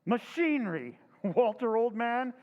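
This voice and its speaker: An actor playing a flustered, excitable henpecked husband in an old-time radio drama recreation. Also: male voice, very high voice, a little slow, big-room sound, lo-fi sound.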